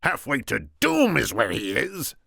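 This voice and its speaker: A man's voice: medium pitch, huge pitch swings, a little slow, creaky, pushed and bright, dry recording, studio quality, pitched above the performer's own voice, heavily acted. A man voicing a scheming, domineering hunchbacked villain from a storybook, scornful and commanding. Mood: frantic.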